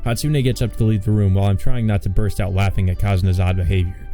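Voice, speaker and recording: A man's voice: low voice, little pitch movement, fast talker, warm tone, soft background music. A man hosting a let's play, reading a visual novel aloud with casual commentary.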